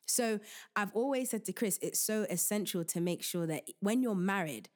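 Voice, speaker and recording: A young woman hosts a podcast and gives relationship advice in a lively, jokey and preachy way. Her pitch sits in the middle for a woman. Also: moderate pace. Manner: animated, joking, preachy. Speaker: podcast host. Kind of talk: casual advice talk.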